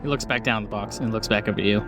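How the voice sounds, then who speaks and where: A man's voice: on the low side, steady tone, rapid-fire, music in the background. A man narrating a tabletop game as game master, casual and improvised, feeling for words as he goes. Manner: informal, searching for words.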